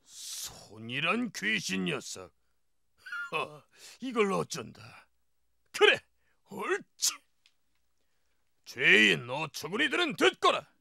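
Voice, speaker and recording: A pompous old king of the heavens, as a woman puts him on, booming his orders with a gravelly edge. His voice leaps wildly up and down, with a bright, pushed tone, slow and deliberate, close and dry. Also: huge pitch swings, no room sound, exaggerated voice. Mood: pondering.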